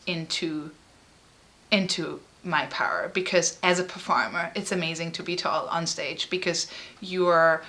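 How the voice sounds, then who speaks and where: Bright-voiced woman, halting and emotional. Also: on the low side. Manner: halting and emotional, frequent fillers and restarts. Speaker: artist and musician. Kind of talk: personal interview.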